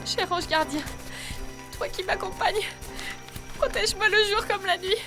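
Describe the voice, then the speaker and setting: Pleading young mother in TV melodrama, extremely high, fragile voice under loud music. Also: racing. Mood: praying. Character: desperate, pleading young mother of missing baby.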